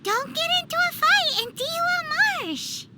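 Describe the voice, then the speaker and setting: A tiny fairy companion from a video game. The voice is extremely high and swoops about animatedly, squeaky and childlike with naive, exclamatory excitement. Loud ambience sits behind it. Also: female voice, measured pace, very noisy, full character voice. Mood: chatty, explanatory. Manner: excitable, exclamatory, refers to self in third person. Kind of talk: video game character dialogue.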